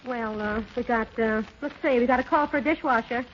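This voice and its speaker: A woman playing a brisk, wisecracking employment clerk in 1930s radio comedy, dry and businesslike. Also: a little high, natural voice. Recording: muffled, noisy 1930s radio recording.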